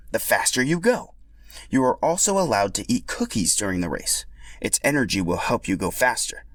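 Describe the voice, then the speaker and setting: A man voicing an excitable little mushroom-headed race announcer in a peppy, announcing manner for a story. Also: medium pitch, no echo.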